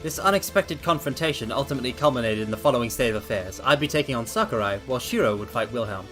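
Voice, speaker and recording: Man, streamer, theatrical story reading, casual asides, medium pitch, quiet game soundtrack underneath. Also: expressive, fast. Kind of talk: dramatic read-aloud of a visual novel with casual asides. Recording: faint game music behind voice.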